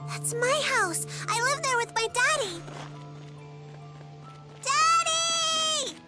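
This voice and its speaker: A woman's voice: very high-pitched, expressive, slow, music in the background, heavily acted. A woman voicing a cute, earnest little girl who adores her father in a video game. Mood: small, insistent.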